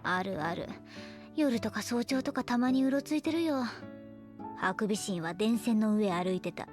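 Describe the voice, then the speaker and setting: A woman voicing a grumpy, sharp-tongued scientist in a Japanese audio drama, with blunt, rough scolding retorts. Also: medium pitch, animated, moderate pace, music in the background, natural voice. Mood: grudging, calmer.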